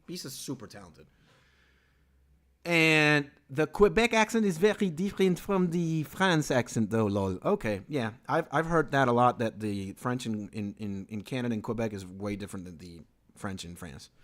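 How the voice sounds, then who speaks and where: A man's voice: medium pitch, moderate pace. A man from Venezuela, a native Spanish speaker, chatting casually on a live stream about music and reading comments from chat aloud. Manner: lively, rambling, reads chat aloud.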